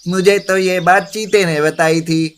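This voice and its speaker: Timid forest critter for a cartoon tale, male actor: raised pitch, nervous, alarmed. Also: fairly even intonation, unhurried, a little hiss or hum. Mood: nervous, pleading. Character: anxious, easily frightened forest animal. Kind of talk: Hindi cartoon moral story dialogue.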